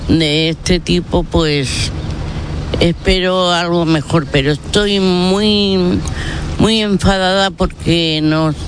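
A woman interviewed outdoors, speaking haltingly and repeating herself. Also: on the low side, fairly even intonation, background noise. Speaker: interviewed local resident. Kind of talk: street interview.

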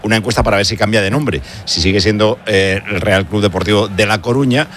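A man's voice: on the low side, fairly quick, fairly bright tone, slight background noise. A man presenting sports radio, hesitating with frequent "eh" and joking. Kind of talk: casual sports radio chat.

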